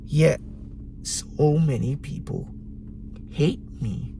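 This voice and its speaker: A man with a very gravelly, raspy voice talks slowly and deliberately, leaning on long pauses. He speaks from a parked car at night, with a faint hum of noise behind him. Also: fairly high pitch, warm tone. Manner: slow, deliberate, emphatic pauses. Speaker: vlogger. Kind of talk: reflective late-night monologue.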